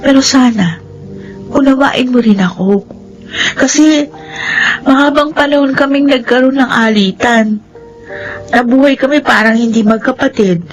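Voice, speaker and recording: Gentle, high-voiced young heroine. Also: female voice, measured pace, long pauses, rough recording. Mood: pleading, hopeful. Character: gentle, trusting young woman, hopeful and forgiving. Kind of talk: Filipino radio drama acting. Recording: radio drama with background music.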